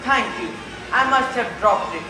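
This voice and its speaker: A woman's voice: on the high side, lively intonation, normal speed, natural voice. A young female performer acting as a composed, indignant princess in a school stage play. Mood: composed protest. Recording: echoey stage with loud music.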